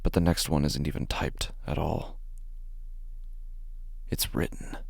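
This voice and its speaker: A man playing an anxious, meticulous office worker, narrating a horror story in the first person in a halting, deliberate way. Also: extremely low pitch, fairly even intonation, creaky, breathy edge, no room sound, heavily acted. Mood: measured, uneasy. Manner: halting, deliberate, stammers when agitated. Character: anxious, meticulous male office worker unsettled by his typos.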